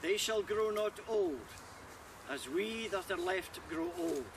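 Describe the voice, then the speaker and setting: A man with a fairly high voice leads an outdoor remembrance ceremony in a slow, formal manner. He is away from the mic, with clear background noise around him. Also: distant mic. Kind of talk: solemn ceremony speech.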